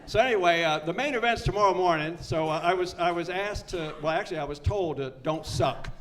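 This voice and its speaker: A man in his seventies speaks at a recovery meeting with a voice pitched a little higher than most men's. He is folksy and earnest, and he repeats his key lines so they land. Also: brisk, a bit bright, a little hiss or hum. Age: 70s. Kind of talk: personal story told to a live meeting.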